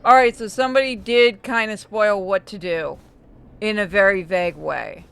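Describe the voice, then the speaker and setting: A woman's voice: medium pitch, moderate pace, gravelly, smooth. A woman giving casual, blunt, bantering gameplay commentary as a streamer. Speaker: game streamer.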